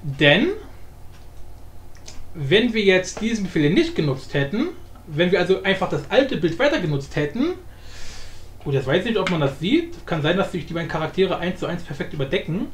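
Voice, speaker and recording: A man's voice: high voice, big pitch swings, normal speed. Man, very varied intonation, informal tutorial host, roomy sound, faint background noise. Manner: informal, explanatory, often adds tag questions. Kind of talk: casual screen-recorded software tutorial. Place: indoor room, voice-over of screen recording.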